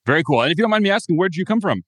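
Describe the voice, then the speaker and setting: Fast-talking male podcast host. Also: high-pitched, very animated, no room sound, clean studio sound. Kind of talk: scripted intro then casual interview.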